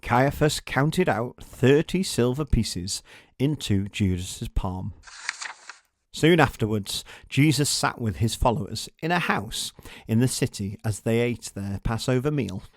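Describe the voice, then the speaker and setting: A man's voice: medium pitch, lively intonation, measured pace, dry recording, studio quality. A man narrating a scripted story for children.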